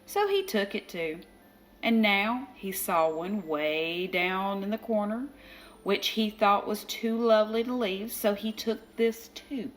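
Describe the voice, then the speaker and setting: Slow, very expressive woman storyteller. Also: mid-range voice. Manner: expressive, dramatic emphasis on repeated phrases. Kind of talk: children's picture book read-aloud. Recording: faint background noise.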